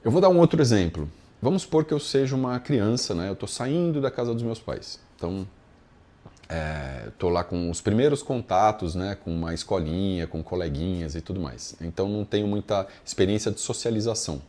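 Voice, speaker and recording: Low-voiced Brazilian man teaching informally. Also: lively intonation, brisk, warm tone. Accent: Brazilian Portuguese. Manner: conversational, didactic, frequent check-in tags. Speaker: yoga philosophy teacher. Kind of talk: informal explanatory lesson with everyday examples.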